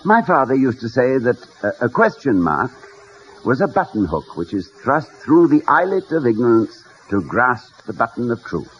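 A man's voice: medium pitch, big pitch swings, moderate pace, slight background noise, close to an everyday voice. Actor as urbane male academic, wry, wordy, wildly swooping intonation, dull vintage radio recording, music. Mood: earnest, eloquent. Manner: erudite, wordy, hesitant. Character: urbane, wryly philosophical middle-aged male college president. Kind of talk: 1950s radio comedy-drama acting.

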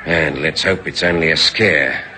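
An actor playing a calm, authoritative police superintendent in a 1950s radio drama. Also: male voice, very deep voice, unhurried, some chatter behind, a little hiss or hum, heavily acted. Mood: steady. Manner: steady, authoritative.

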